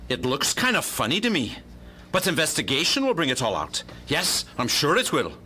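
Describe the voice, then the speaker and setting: An actor playing a pompous, irritable and stubborn police detective in a pulp radio drama, brusque and blustering. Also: male voice, on the high side, wildly swooping pitch, normal speed, bright, forceful tone, slight background noise, heavily acted. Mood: self-important, dismissive. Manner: blustering, brusque, talks over others.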